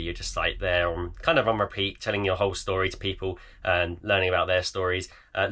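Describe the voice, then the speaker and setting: A man in his thirties, croaky and tired, chatting casually in a vlog with lots of fillers. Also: low-pitched, bright, forceful tone. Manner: tired, rambling with many fillers. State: croaky, voice almost gone. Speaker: eBay reseller vlogger. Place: home garage.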